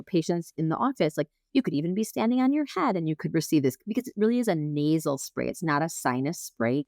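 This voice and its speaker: Deep-voiced woman doctor, close dry sound. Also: darkish tone, no echo. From lives in Minnesota, United States. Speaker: guest doctor, allergist. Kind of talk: conversational medical explanation.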